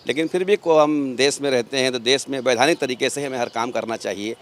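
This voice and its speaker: A man with a medium-pitched voice gives an interview outdoors as a local worshipper. He explains earnestly, repeating and rewording phrases as he makes his points. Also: brisk. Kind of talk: street interview answers. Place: outdoors outside a mosque.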